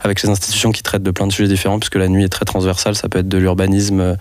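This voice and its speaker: Man, from France, young adult, deep, monotone, hesitant, dry close recording. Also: very fast, no echo. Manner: hesitant, many fillers and restarts. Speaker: festival organizer and network coordinator. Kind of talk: radio interview guest.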